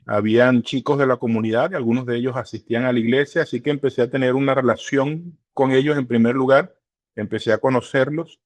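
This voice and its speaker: A middle-aged man, speaking as an interview guest in an unhurried way, with many hesitations and fillers. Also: medium pitch, no echo. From Venezuela. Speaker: church regional director, guest. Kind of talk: relaxed interview conversation.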